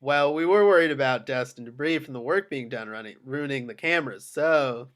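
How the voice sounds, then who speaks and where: A man's voice: medium pitch, brisk, fairly bright tone, natural voice. A man playing a defensive, evasive casino security supervisor in an amateur script read.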